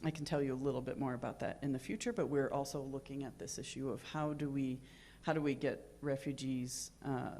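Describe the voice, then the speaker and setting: A woman's voice: a bass voice, darkish tone, slight background noise. A woman moderating a conference panel, hesitant and full of ums and restarts. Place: conference room with live and virtual audience.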